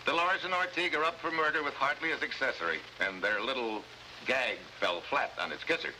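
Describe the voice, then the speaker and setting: A man's voice: medium pitch, fairly quick, lightly acted. An American man playing a tough, wisecracking special agent in a 1950s adventure drama, with a sardonic, slangy delivery. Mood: wry, sardonic. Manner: hard-boiled, sardonic, slangy. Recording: faintly noisy vintage 1950s TV soundtrack.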